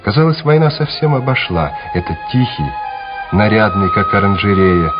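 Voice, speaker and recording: A man's voice: lowish voice, very animated, normal speed. A man narrating a wartime radio drama in a scripted, dramatic style. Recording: slightly dull radio recording with music. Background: music.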